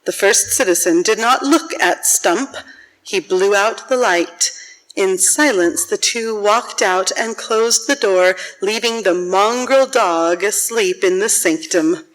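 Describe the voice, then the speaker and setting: A woman's voice: mid-range voice, slow, lightly acted, low-quality recording. A woman narrator reading a scripted, literary story for a radio drama.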